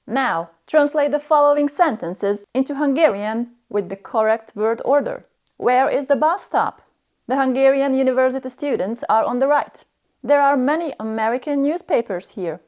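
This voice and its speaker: A woman's voice: a little high, a little mellow. A woman narrating a scripted language lesson as a stern, harsh and demanding teacher.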